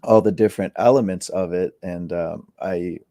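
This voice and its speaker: A man in his thirties, from Costa Rica, chatting casually as an interview guest, relaxed with many fillers and repeated words. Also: deep voice, moderate pace, dry recording, studio quality. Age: 30s.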